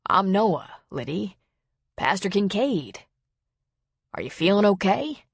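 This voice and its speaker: Woman voicing a calm, concerned male pastor, dry sound. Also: mid-range voice, gravelly, husky, no room sound, full character voice. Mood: gentle, probing. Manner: measured, soothing questioning.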